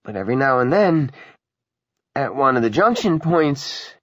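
A man's voice: medium pitch, sing-song, moderate pace, a bit bright, no echo, voice pushed up. A man voicing a meek, apologetic little railway conductor, a ghost, explaining things hesitantly in an audiobook.

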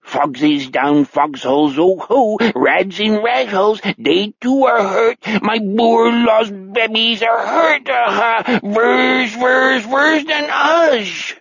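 A man's voice: high voice, gravelly, fairly bright tone, husky, no room sound, lo-fi sound, voice pushed up, full character voice. A man voicing a gloating, otherworldly predator spirit with a warped pseudo-German accent and a guttural, strained delivery, chanting and drawing out words. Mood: mock lament. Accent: warped, invented pseudo-German. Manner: chanting repetition, drawn-out words. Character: otherworldly predator spirit inside an old killer, shrill, gloating.

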